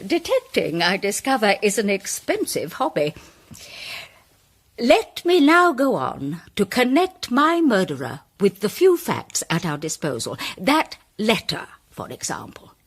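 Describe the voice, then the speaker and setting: A woman's voice: mid-range voice, wildly swooping pitch, breathy edge, exaggerated voice. An actress playing a self-important woman playwright who presents her case in a dramatised mystery with grandiloquent, declamatory speeches. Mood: emphatic, triumphant. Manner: declamatory, grandiloquent, deliberate emphasis. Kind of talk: dramatised audio mystery, formal speech-making.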